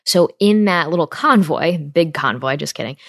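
A woman's voice: low voice, lively intonation, normal speed, a bit bright, no echo, studio quality. A woman in her twenties from upstate New York, hosting a podcast and talking earnestly and directly to her listeners. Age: 20s. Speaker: podcast host. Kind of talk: casual monologue.

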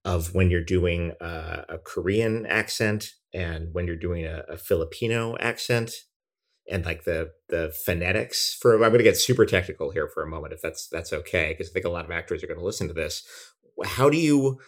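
Very deep-voiced man asking interview questions. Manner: halting, repeats words while forming questions. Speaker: interview host.

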